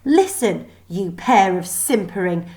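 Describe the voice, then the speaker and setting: A woman's voice: medium pitch, animated, takes it slowly, darkish tone. A woman voicing a spirited young schoolgirl heroine in a children's storybook, indignant, defiant and earnest. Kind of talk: children's storybook read aloud.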